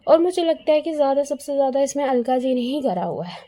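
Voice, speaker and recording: A woman's voice: high voice, expressive, fast talker, mellow. A woman giving casual, opinionated gossip commentary in a conversational way, repeating phrases for emphasis. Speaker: YouTube commentator.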